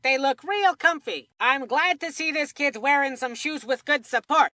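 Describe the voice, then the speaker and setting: A woman's voice: high-pitched, normal speed, sharp tone, dry recording, studio quality. A woman voicing an eager, chatty boy who works as a sports commentator in a cartoon video game, with a folksy, earnest announcer delivery. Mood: folksy analysis.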